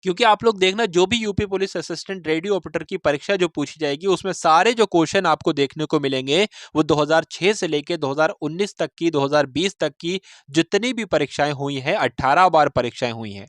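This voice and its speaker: Higher-pitched man explaining exam news, dry sound. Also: a little fast, hardly any pauses, dry recording. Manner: repeats and rephrases points, addresses listeners as friends. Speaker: host of exam preparation channel. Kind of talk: informal explanatory exam news update to viewers.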